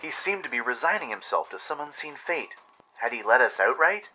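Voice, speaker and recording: A man's voice: medium pitch, sing-song, brisk, bright, forceful tone, faint music behind, rough recording. A man narrating a scripted adventure story dramatically, as in a radio play. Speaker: narrator and radio show host.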